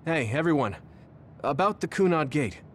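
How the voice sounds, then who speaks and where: A man playing a determined, burdened young soldier in a video game, speaking in an earnest, measured way. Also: fairly high pitch, lively intonation, lightly acted. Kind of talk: video game cutscene acting. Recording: dramatic music behind the voice.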